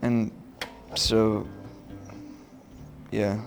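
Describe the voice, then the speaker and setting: A man's voice: on the low side, little pitch movement, drawn-out words, vocal fry, music in the background. A man telling a casual, rambling story, full of "like" and "you know" fillers, joking and mock-serious. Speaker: student show presenter.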